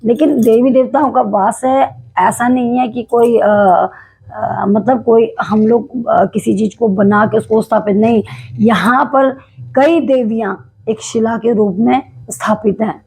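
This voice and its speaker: A devout woman from Uttarakhand tells a temple legend to her viewers, her voice slightly hoarse from days of singing. The tone is gravelly and husky, warm with devotion, and broken by many small hesitations as she explains. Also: fairly high pitch, fairly even intonation, a little slow, poor audio. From Uttarakhand, India. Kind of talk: casual storytelling to camera.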